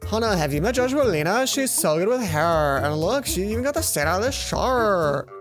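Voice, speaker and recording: A woman's voice: mid-range voice, sing-song, normal speed, lightly acted. Woman doing a haughty, huffy schoolgirl prefect. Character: haughty, suspicious female class representative. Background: game music.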